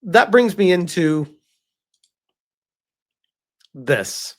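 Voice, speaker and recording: A man's voice: high voice, very animated. Incredulous man doing casual gaming commentary. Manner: incredulous, informal, halting emphatic delivery with rhetorical questions. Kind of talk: casual livestream commentary reacting to gaming news.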